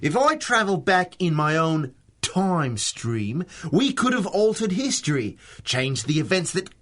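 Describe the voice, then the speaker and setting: A man's voice: on the high side, very animated, measured pace, fairly bright tone, heavily acted. An actor playing a breezy, flippant male secret agent in a period-style radio drama. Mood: breezy, explanatory. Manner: flippant, teasing, nonchalant. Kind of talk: 1930s radio drama acting.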